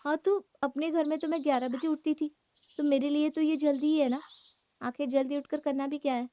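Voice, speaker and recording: A woman's voice: high voice, fairly even intonation, a little fast, dark tone, a little hiss or hum, lightly acted. A woman playing a spoiled, defiant young married woman in a cartoon story, argumentative and complaining. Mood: irritated. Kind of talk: Hindi cartoon story acting.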